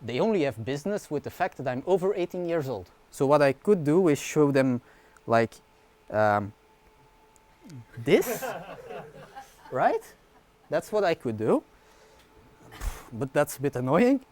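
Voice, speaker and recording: A man, a university researcher giving a relaxed conference talk, often checking in with the audience. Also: a little high, measured pace, pauses often. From Belgium. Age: 20s. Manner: casual, informal explaining with frequent "yeah?" and "right?" check-ins; fillers and restarts, light humor.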